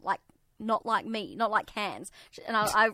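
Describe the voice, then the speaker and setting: A woman's voice: mid-range voice, big pitch swings, normal speed, pushed and bright, dry recording. A woman co-hosting a radio show, teasing in a dry, matter-of-fact way. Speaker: radio co-host. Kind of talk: casual on-air banter. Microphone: studio microphone.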